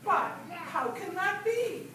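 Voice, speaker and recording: Woman playing a high-voiced, earnest puppet prince. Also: animated, takes it slowly, exaggerated voice. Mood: bold. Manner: bold, declamatory. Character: brave, earnest young prince. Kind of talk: children's puppet show acting. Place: library event room, live show. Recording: distant, echoey, very noisy room.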